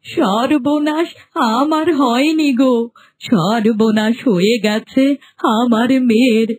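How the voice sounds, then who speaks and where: A woman playing a frail, devoted old village mother in a cartoon, speaking in a slow, drawn-out, plaintive way. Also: a little high, steady tone, darkish tone, husky. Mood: aggrieved, pleading. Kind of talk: melodramatic cartoon acting. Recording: music bed under voice.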